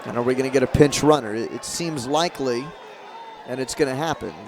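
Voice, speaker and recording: A man doing live radio play-by-play of a baseball game, describing the action in short, clipped, measured phrases. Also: medium pitch, crowd noise. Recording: clear outdoor ballpark noise behind.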